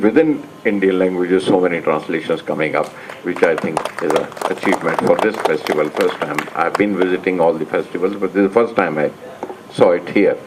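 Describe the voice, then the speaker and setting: Low-voiced male panel moderator. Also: noisy background. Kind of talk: formal public remarks.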